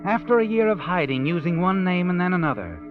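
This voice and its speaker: An actor playing a sinister, all-knowing narrator with an American accent, needling someone in 1940s radio suspense style. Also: male voice, high-pitched, expressive, fast talker. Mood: taunting, ominous. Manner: insinuating, needling, dramatic. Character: the Whistler, sinister all-knowing male narrator addressing a guilty woman. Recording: dull vintage 1940s radio recording. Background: dramatic music.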